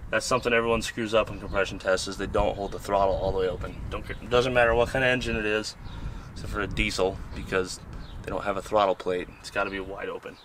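Laid-back low-voiced man explaining repairs. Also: fairly even intonation, fast talker, fairly bright tone, a little hiss or hum. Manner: laid-back, rambling, swears casually. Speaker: host and mechanic. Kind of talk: casual hands-on repair explanation. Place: outdoor junkyard by a vehicle.

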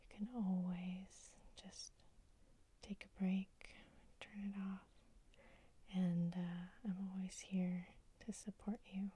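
A woman whispering a guided sleep hypnosis in a very slow, even, monotone hush. Her breathy whisper is warm and mellow, recorded dry and close with no room sound. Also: lowish voice, dry recording. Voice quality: soft whisper. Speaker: ASMR hypnosis host.

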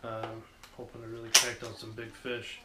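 Flat-voiced young man in an echoey room. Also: medium pitch, normal speed. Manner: relaxed, rambling with many fillers. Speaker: fishing channel host. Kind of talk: casual unboxing vlog. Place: small dim living room, indoors. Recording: echoey room with background noise.

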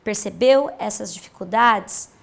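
Woman, Brazilian accent, 30s, nutritionist, explanatory, lapel mic, bright room. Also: mid-range voice, measured pace, gravelly. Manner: explanatory, patient, uses simple comparisons. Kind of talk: TV health interview. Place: bright room with large windows.

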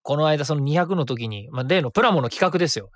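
Quick, chatty man hosting web radio. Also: on the high side, expressive, no room sound, clean studio sound. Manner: chatty, rambling, many fillers. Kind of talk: solo radio talk, reading listener comments.

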